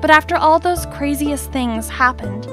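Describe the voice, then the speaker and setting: A young, high-voiced heroine acted by a woman tells her cartoon life story. She is outspoken and theatrical, with music playing behind her. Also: a little slow. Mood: storytelling. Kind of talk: scripted first-person story narration.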